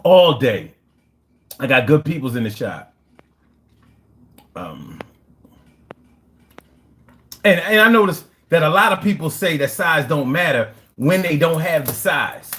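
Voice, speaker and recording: A man's voice: a little high, big pitch swings, unhurried, long pauses, fairly bright tone. A young man from Philadelphia with a Philadelphia accent, chatting casually on a livestream, brash and profane, boasting in street slang. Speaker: livestream host. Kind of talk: casual livestream chat reading comments.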